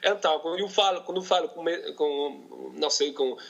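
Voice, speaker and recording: A man from Colombia with a Bogotá Spanish accent, a native Spanish speaker talking as a podcast guest in a second language, halting and searching for words. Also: a little high, creaky, fairly bright tone, lo-fi sound. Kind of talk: casual conversation in a second language.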